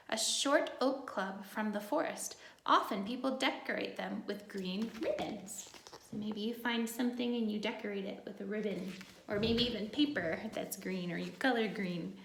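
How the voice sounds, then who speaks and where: A woman's voice: mid-range voice, creaky, echoey room, a little hiss or hum. A woman teacher reading a picture book aloud to young children, adding simple, encouraging asides as she goes.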